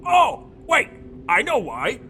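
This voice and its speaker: A man playing a grouchy, defensive veteran handyman and guard in an audio drama, loud, blustering and mocking. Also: very high voice, huge pitch swings, takes it slowly, a little hiss or hum, exaggerated voice. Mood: defensive, irritated.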